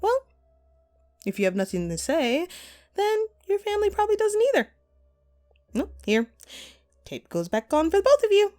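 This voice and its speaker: A woman's voice: very high-pitched, wildly swooping pitch, normal speed, long pauses, darkish tone, soft background music, heavily acted. A woman playing a vengeful, sadistic jilted actress in a horror audio roleplay, mocking and theatrical, with menacing playfulness. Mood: menacing, toying.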